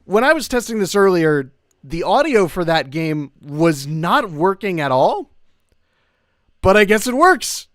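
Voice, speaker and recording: A man's voice: high-pitched, big pitch swings, unhurried, fairly bright tone, no room sound, studio quality. A young man chatting casually as he hosts a livestream, talking in a rambling, hesitant way and breaking off his own sentences. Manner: rambling, hesitant, self-interrupting. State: occasional coughing from long covid. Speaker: livestream host.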